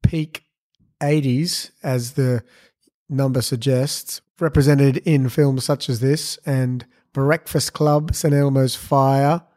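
A man in his thirties co-hosting a movie podcast in casual chat. His voice sits at an ordinary pitch, and he delivers his jokes in a dry, wry way. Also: steady tone, slow, mellow. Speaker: podcast co-host.

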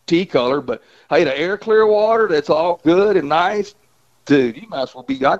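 A man, a fishing guide calling into a radio show, who talks in a rambling, drawling way and keeps clearing his throat. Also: on the high side, expressive, normal speed. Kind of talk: casual phone chat. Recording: dull phone-line sound.

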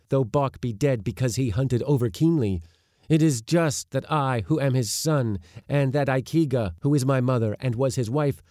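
A man's voice: mid-range voice, dark tone, no echo, studio quality, pitched above the performer's own voice, close to an everyday voice. A man voicing a proud, defiant boy who declaims formally before a council. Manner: declaiming, formal old-fashioned speech.

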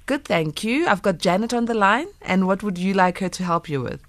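A woman hosting a call-in radio show, briskly moving from one caller to the next. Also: mid-range voice, lively intonation, dry recording. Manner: brisk, moves callers along.

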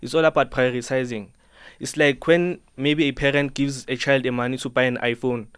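A man's voice: mid-range voice, fairly even intonation, a little fast, a bit bright, clear, resonant tone, dry recording. An eighteen-year-old man, a dancer, answering politely and earnestly in a radio studio, often saying ma'am. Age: teens. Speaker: dancer and fashion subculture member.

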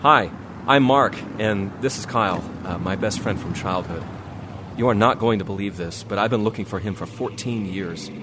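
A man's voice: lowish voice, moderate pace, natural voice. Man playing a friendly guy, noisy street. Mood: amazed. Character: amazed friendly man reunited with childhood friend. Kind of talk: scripted radio drama acting. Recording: clearly noisy outdoor city street ambience.

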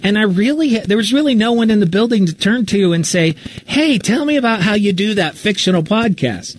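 A man's voice: sits high, expressive, brisk, no echo. A man talking casually and candidly about his own work in a live Q&A, with a self-deprecating manner. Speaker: radio talk show host and podcast storyteller.

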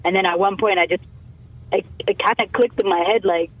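A woman's voice: mid-range voice, fairly even intonation, brisk, slightly rough, no echo. A young woman from Canada, a musician, chatting casually in an interview, rambling with many fillers and restarts. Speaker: musician guest. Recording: tinny phone line.

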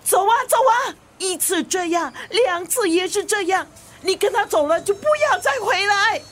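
Radio drama grandmother: high-pitched, fiery, stubborn older woman who scolds and guards her family. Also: normal speed, fairly bright tone. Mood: furious. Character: bull-tempered older mother and grandmother, fiercely protective, scolding. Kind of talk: Chinese radio drama acting.

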